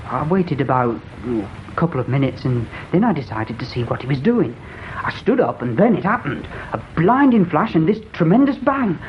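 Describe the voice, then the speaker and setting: A naive young English lad in a radio play, earnest and hesitant with very lively intonation. He is eager and deferential to his betters. The recording is muffled, with noise behind it. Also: fairly high pitch, moderate pace. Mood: earnest recounting. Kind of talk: radio drama acting.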